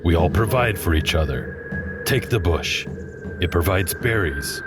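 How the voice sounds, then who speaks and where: Man as a wise old teacher, deep voice, slow and patient, music behind. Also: animated.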